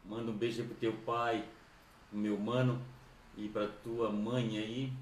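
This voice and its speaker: A man's voice: on the low side, level tone, a little slow, slight background noise. A man with a southern Brazilian accent chatting casually on a livestream, informal and rambling, often repeating his phrases. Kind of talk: casual livestream chat, greeting viewers. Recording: echoey room, distant microphone.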